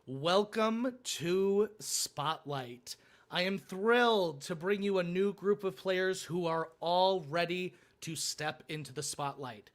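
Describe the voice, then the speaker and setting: A man with a high-pitched voice and an American accent. He speaks as a game show host, presenting and introducing players. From Michigan, United States. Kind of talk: scripted show hosting, introductions.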